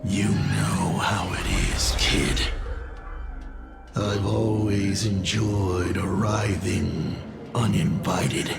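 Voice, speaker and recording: An actor playing a smug, menacing older mentor turned adversary in a video game, in a gravelly voice. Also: male voice, low-pitched, fairly even intonation, drawn-out words, over loud music, exaggerated voice. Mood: smug, ominous.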